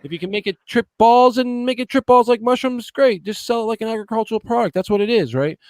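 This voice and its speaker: A man's voice: very high voice, quick pace, darkish tone, no echo. Fields: man in his 40s, profane, rambling. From Boston area. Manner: profane, rambling, keeps asking "you know what I mean?". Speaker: podcast guest. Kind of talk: casual chat.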